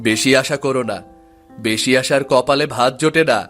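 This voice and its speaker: A man's voice: mid-range voice, normal speed, a bit bright, soft background music, lightly acted. Man acting a weary, humble village farmer. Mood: hopeful, cautious. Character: worried, resigned poor village farmer, a father. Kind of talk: animated moral-tale dialogue.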